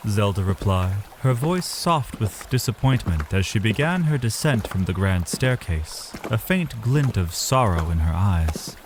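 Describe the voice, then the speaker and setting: A man's voice: lowish voice, expressive, measured pace, few pauses, noisy background. A man narrating a scripted fantasy story as a literary, dramatic storyteller.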